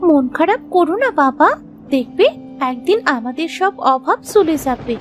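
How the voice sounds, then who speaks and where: A very high, lively little girl's voice, acted by a woman, with a Bengali accent. She is a poor village child in a cartoon, earnest and pleading, full of hope. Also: moderate pace, faint music behind. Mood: hopeful. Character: eager, hopeful, hungry young village girl. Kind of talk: cartoon story dialogue.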